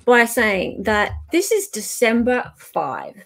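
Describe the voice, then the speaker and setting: A woman's voice: on the high side, sing-song, slow, gravelly, a bit bright. A woman hosting a live dramatic reading, chatting casually in a playful, mock-serious way as she sets up each item. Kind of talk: casual banter introducing emails.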